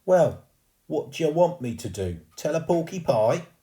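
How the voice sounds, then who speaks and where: A man's voice: fairly high pitch, very animated, moderate pace, warm tone, voice pushed up. A man doing a cheeky Cockney boy ghost. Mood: annoyed. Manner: cocky, slangy, teasing.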